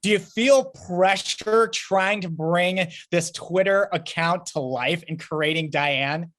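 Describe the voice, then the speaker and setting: A man's voice: high-pitched, takes it slowly, fairly bright tone. A man hosting an upbeat entertainment interview.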